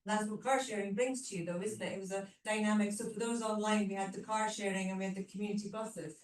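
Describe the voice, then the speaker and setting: A woman's voice: mid-range voice, steady tone, fast. A woman hosting a live panel session, chatty and drawing in the audience. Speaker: host. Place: community cinema hall. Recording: roomy hall, mic at a distance.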